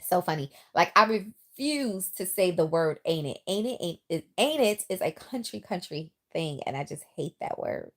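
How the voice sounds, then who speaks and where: A woman's voice: fairly low pitch, moderate pace, hardly any pauses. A middle-aged woman from the Bronx, now living in the South, chatting casually with viewers on a livestream and reading their comments aloud. Her New York accent has picked up some Southern twang. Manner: chatty, lively, talks straight to viewers. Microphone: phone.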